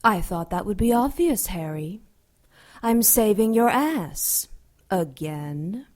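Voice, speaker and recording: An actress playing a sardonic, seductive ancient demon in an audio drama, smug and mocking. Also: female voice, mid-range voice, animated, takes it slowly, a little mellow.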